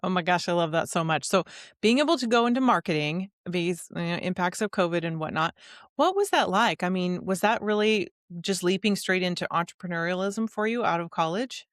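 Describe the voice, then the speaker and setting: A woman's voice: lowish voice, expressive, brisk, no room sound, clean studio sound. An American woman hosting an interview, enthusiastic and gushing, laughing often between questions. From United States. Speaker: podcast host. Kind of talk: interview chat.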